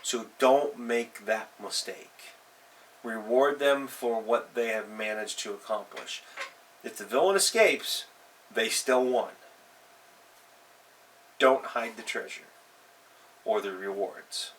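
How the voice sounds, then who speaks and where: A man's voice: fairly low pitch, lively intonation, slow pace, long pauses, fairly bright tone. A man hosting an advice show, talking casually and off the cuff, speaking straight to listeners with conversational emphasis. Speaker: host of a tabletop role-playing advice show. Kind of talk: casual unscripted advice talk. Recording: distant mic, faint background noise.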